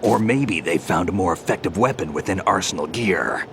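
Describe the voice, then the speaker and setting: A man playing a grizzled, world-weary veteran soldier in a video game, terse and deadpan with a gravelly voice. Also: low-pitched, moderate pace, background noise. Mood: terse, dry. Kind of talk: dramatic video game voice acting.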